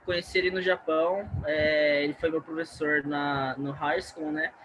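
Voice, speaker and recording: Bright-voiced young Brazilian man. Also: on the high side, level tone, moderate pace, slight background noise, poor audio. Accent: Brazilian Portuguese. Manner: conversational, frequent "né" tags. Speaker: guest. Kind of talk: casual interview chat.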